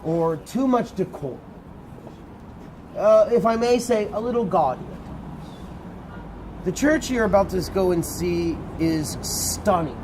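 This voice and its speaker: A man with a booming voice guiding a tour group outdoors, explaining in a lively conversational way. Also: slow, long pauses. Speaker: tour guide. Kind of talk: guided tour talk. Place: outdoors at a garden site. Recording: outdoor street noise.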